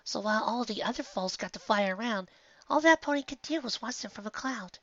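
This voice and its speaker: A reader voicing a shy, gentle young mare who speaks quietly and softly. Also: mid-range voice, lively intonation, fairly quick, dry recording, muffled recording, voice pushed up. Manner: soft, quiet, timid.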